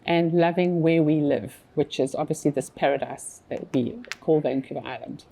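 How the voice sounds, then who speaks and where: A woman's voice: sits low, fairly even intonation, normal speed, vocal fry, warm tone, poor audio. A woman in her fifties from South Africa with a South African accent, chatting casually as an interview guest with many fillers and restarts. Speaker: magazine publisher guest.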